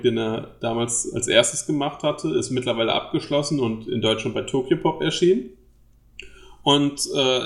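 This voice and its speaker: A man hosting a casual podcast discussion, explaining things haltingly with many fillers. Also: mid-range voice, echoey room. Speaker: podcast host.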